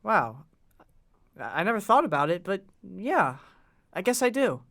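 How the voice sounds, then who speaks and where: A man's voice: sits high, very animated, a little slow, vocal fry, pushed and bright, no echo, clean studio sound, exaggerated voice. An actor playing an earnest, polite and curious young boy who speaks a little hesitantly in a period audio drama. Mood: grateful, hopeful.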